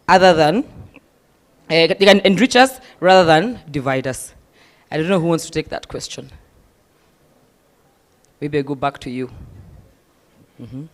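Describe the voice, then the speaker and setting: A man with a Kenyan accent moderating a university town hall forum, animated and mixing in Swahili phrases. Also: sits high. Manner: animated, mixes Swahili phrases, repeats himself.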